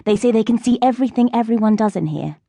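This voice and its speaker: A woman's voice: on the high side, expressive, fast talker, gravelly, mellow, no room sound, voice pushed up. A woman voicing a cocky, streetwise teenage schoolgirl in an audiobook, with a breezy, bossy, know-it-all manner. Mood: offhand, knowing.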